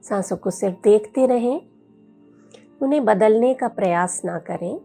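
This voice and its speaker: A woman leading a guided meditation as a yoga teacher, speaking in a soothing, gentle, unhurried way with long pauses. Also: mid-range voice, creaky, faint music behind.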